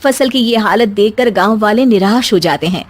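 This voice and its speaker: A woman's voice: medium pitch, normal speed. A woman storyteller reading scripted narration for a moral story.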